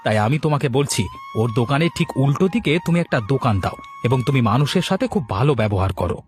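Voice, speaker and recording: A man's voice: medium pitch, lively intonation, quick pace, darkish tone, soft background music, lightly acted. A man voicing a shrewd village friend in a cartoon who eggs others on in an instructive, encouraging tone. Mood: insistent, encouraging. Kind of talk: animated moral story dialogue.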